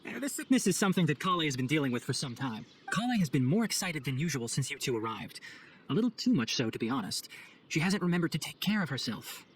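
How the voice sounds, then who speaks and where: Young game ranger mentor, fairly high voice, lively intonation, wry, caring, faint background ambience. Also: male voice, fast, a little hiss or hum. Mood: frank, concerned. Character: young male forest ranger master, frank, caring, wry. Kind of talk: video game cutscene voice acting.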